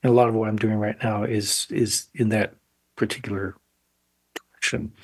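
Halting thoughtful older man, dry studio. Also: lowish voice, flat delivery, slightly rough, no room sound. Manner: thoughtful, halting, frequent restarts. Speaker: guest artist. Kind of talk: radio interview answers.

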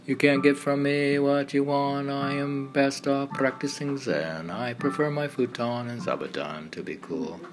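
A man reading his own meditative poetry in declarative phrases with pauses, in a recitation style. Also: medium pitch, noisy background. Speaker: poet reading own work. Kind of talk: poetry recitation over background music. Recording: music playing under the voice. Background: background music.

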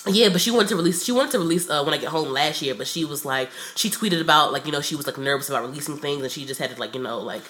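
A young woman with a low-pitched but bright, forceful voice trades opinions in casual podcast chat. She swears freely and strings thoughts together in drawn-out, hesitant runs. A cold leaves her slightly nasal. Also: slight background noise. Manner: profane, animated, drawn-out and hesitant. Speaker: podcast co-host.

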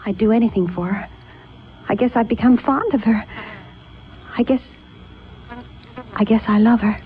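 Young female lead played by a woman, reluctant and anxious: highish voice, emotional hesitant delivery, vintage radio drama with narrow sound. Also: moderate pace, a little hiss or hum, close to an everyday voice. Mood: uneasy, earnest. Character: anxious, reluctant young niece drawn into sorcery. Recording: tinny old radio sound, faint music.

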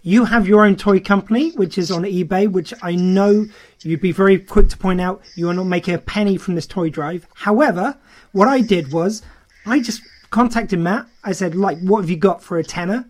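A man with a high-pitched voice hosts a radio chat. He speaks informally and encouragingly, rambling a little as he casually leads the interview. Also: normal speed. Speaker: radio show host.

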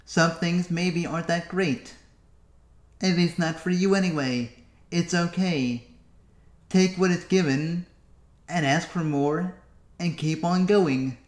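A man hosting a solo podcast, wandering through his thoughts and pausing often. His voice sits a little high for a man, and it echoes off the walls of the room he is recording in. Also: fairly even intonation. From United States. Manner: musing, self-talking, with long pauses. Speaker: podcast host. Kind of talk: solo reflective podcast monologue.

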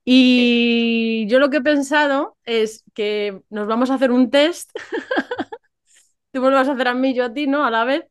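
A woman's voice: sits high, unhurried, clear, resonant tone. A woman from hosting a casual podcast, chatty and often checking for agreement with little tag questions. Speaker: podcast host.

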